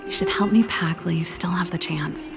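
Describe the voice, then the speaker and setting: A woman performs a young, spirited big sister from a video game, an inventor and musician. Her voice sits a little low with a gravelly edge. She is teasing and expressive, with a wistful streak, over soft background music. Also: fairly quick, in a whisper, close to an everyday voice. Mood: playful, breezy. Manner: breezy, expressive, with sighs and trailing reflection.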